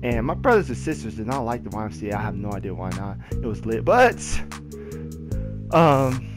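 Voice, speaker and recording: A young American man casually telling personal stories in a slangy, repetitive, animated way. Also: on the low side, moderate pace. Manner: slangy, repetitive, animated retelling with acted quotes. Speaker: gaming video storyteller. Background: background music with guitar.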